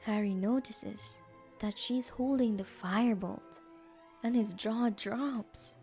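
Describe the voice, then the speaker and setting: A woman's voice: a little high, takes it slowly, mellow, soft background music. A woman reading scripted story narration as the narrator of an audio drama.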